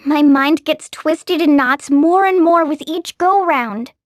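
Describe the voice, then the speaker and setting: A tiny girly game sidekick, super high voice, puzzled and a bit anxious. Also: slow pace, dry recording, studio quality. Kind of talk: video game voice acting.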